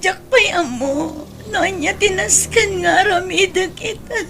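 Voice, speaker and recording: A woman's voice: extremely high pitch, a little slow, loud music behind the voice. A woman with an Ilocano accent playing a long-suffering but resolute young wife in an audio drama, earnest and plaintive. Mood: sad, resolute.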